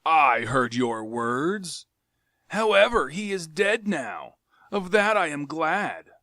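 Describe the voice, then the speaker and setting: A man's voice: a little high, animated, slow pace, bright, forceful tone, no echo, clean studio sound, voice pushed up, full character voice. A man voicing a raging, mocking male tyrant king who screams and sneers. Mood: furious.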